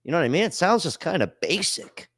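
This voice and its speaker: A man's voice: on the high side, very animated, quick pace, a bit bright, breathy edge. A man hosting a call-in podcast, talking loosely and bluntly in slang, swearing freely. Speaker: podcast host. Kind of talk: casual chat.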